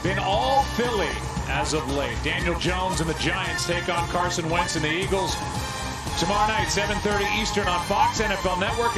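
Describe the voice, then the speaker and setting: A man's voice: high-pitched, rough recording. An American man doing live baseball play-by-play, announcing counts and stats in clipped phrases. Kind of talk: live sports commentary.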